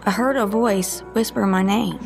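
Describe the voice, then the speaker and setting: A woman's voice: medium pitch, fairly even intonation, normal speed, vocal fry, music in the background, close to an everyday voice. An actress playing a wry, guarded psychic woman in an audio drama, with a dry, teasing, sarcastic manner. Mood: candid. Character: wry, guarded psychic woman who teases her old friend. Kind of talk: scripted audio drama dialogue.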